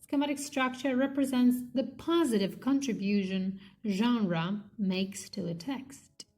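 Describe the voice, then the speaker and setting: A woman reading an academic textbook aloud in a measured, slightly halting way. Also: on the high side, darkish tone. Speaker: reader of a linguistics textbook. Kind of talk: read-aloud textbook narration.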